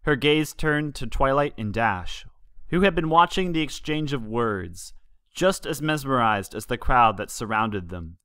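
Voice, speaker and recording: A man narrates written fan fiction as a story reader. His pitch sits in the middle of the male range. The recording is dry, with almost no room sound. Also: expressive, unhurried, fairly bright tone, clean tone, dry recording.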